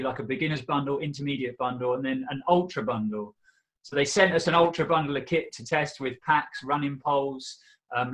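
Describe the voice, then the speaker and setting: A man with a slightly croaky voice, a podcast guest chatting casually about trail running, laughing often and filling pauses. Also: fairly high pitch, fairly bright tone, poor audio. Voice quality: laughs often, easygoing, says "um" and "you know" often.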